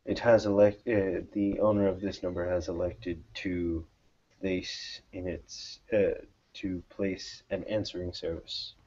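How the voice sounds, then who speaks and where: A man's voice: low voice, little pitch movement, a little slow, darkish tone, highs cut off. A man narrating a tabletop roleplaying game as game master, describing the scene to players.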